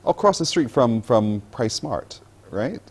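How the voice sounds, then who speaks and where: A man's voice: medium pitch, lively intonation, a little slow, darkish tone, husky. A man hosting a morning TV show, chatting with a guest in an upbeat, encouraging way. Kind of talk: casual TV interview. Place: TV studio.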